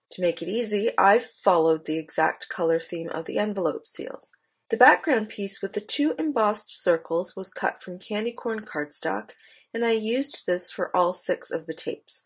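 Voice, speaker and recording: A woman's voice: medium pitch, husky. A woman presenting an instructional craft voice-over, explaining things step by step. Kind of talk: instructional voice-over narration of a card-making demo.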